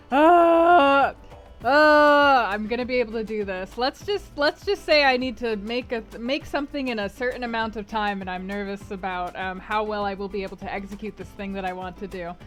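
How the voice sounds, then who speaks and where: A woman with a slightly high voice chats loosely with her stream audience, rambling through fillers and breaking into frequent laughs. She is a streamer hosting a read-aloud book club. Soft music plays faintly behind her. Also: moderate pace. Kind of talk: casual chat with live chat before a stream reading.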